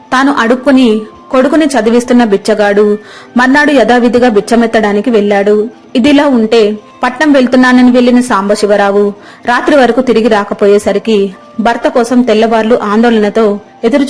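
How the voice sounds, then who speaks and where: A woman's voice: on the high side, fairly even intonation, fairly quick. A woman storyteller giving scripted narration of a tale. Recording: music under the voice. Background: background music.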